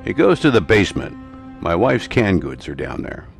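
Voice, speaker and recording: A man's voice: sits low, wildly swooping pitch, normal speed, creaky, full character voice. An actor playing a folksy, mild but sly old man living alone, in old-time radio horror style. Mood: mild, evasive. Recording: music behind the voice.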